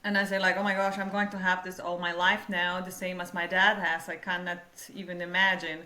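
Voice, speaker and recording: A young woman from Lithuania with a Lithuanian accent, a podcast guest speaking slowly with halting non-native grammar. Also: fairly low pitch, level tone, bright, forceful tone, echoey room. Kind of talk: casual interview.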